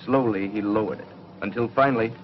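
Actor as authoritative 1950s space scientist, muffled soundtrack. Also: male voice, lowish voice, fairly quick, close to an everyday voice. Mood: grave, explanatory. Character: authoritative, patient middle-aged male space scientist. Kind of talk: 1950s TV serial acting. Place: studio laboratory set. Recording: dull, noisy 1950s TV soundtrack.

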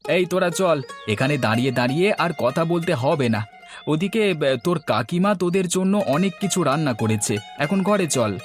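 A man's voice: a little high, animated, fairly quick, soft background music, close to an everyday voice. A man voicing a hospitable village uncle in a cartoon, welcoming and hurrying people along. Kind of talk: cartoon voice acting.

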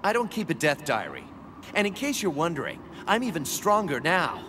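Cocky young assassin, sarcastic, foul-mouthed, animated intonation, video game cutscene, background noise. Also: male voice, fairly high pitch, moderate pace. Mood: mocking, flippant. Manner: sarcastic, brash. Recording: clear background ambience noise.

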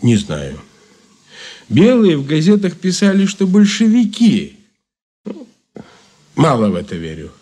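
An actor in a Soviet radio drama playing a wry, folksy informant who talks colloquially, with halting fillers. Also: male voice, a little high, huge pitch swings, dark tone, full character voice. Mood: dry, laconic. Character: male ex-Makhnovist informant, folksy, wry and laconic.

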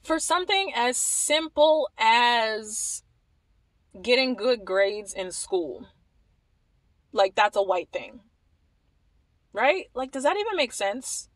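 A young woman from Maryland giving animated, wry commentary to the camera, repeating phrases for emphasis. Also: on the high side, takes it slowly, long pauses, dry recording. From Maryland, USA. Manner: animated, wry, repeats herself when emphasizing, laughs at points. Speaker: vlogger. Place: small indoor room against a plain wall.

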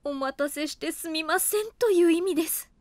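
A woman's voice: extremely high pitch, fairly quick, dry recording. An actress playing a tired young office worker who keeps apologizing and narrates her own thoughts. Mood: apologetic. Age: young adult. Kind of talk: studio audio drama. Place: dry studio.

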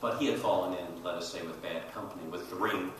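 Older male panel historian, measured and halting, low-pitched, heard at a distance with faint noise. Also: fairly even intonation. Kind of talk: storytelling panel talk to an audience. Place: large auditorium stage. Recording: roomy echoey hall, distant mic, faint noise.

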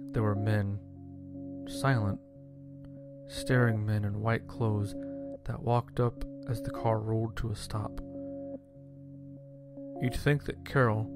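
A man narrating a scripted first-person horror story in a measured, dramatic way. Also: lowish voice. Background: music.

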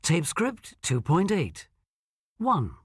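A woman narrating coursebook audio, announcing track numbers slowly and deliberately. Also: fairly low pitch, sing-song, no room sound, clean studio sound. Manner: slow, deliberate, clear announcing. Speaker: narrator reading audio scripts.

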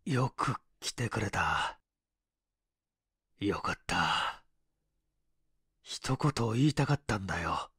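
Guy doing a dying wounded man, low hoarse whispery voice, slow n halting, gentle, audiobook. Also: animated, no room sound, studio quality, heavily acted. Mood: grateful, weak. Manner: gasping, halting, faint.

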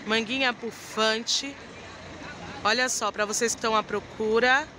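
A woman's voice: a little high, fairly even intonation, a little slow. A woman with a Brazilian Portuguese accent hosting a shopping vlog, praising clothes in an enthusiastic sales patter. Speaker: vlog host showing market clothes. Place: busy night street market outdoors. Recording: noisy street with traffic. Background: street and traffic noise.

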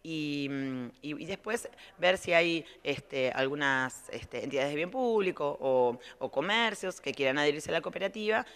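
Middle-aged Argentine woman, explaining haltingly. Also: sits low, expressive, fairly bright tone, clear, resonant tone. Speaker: school director being interviewed. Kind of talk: spontaneous TV interview answers. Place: large indoor playroom. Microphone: handheld reporter microphone.

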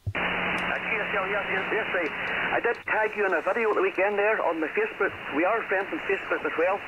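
High-pitched British man over noisy shortwave. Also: brisk. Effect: shortwave radio transmission. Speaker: amateur radio operator, caller. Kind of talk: casual ham radio contact chat. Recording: noisy, muffled shortwave radio reception.

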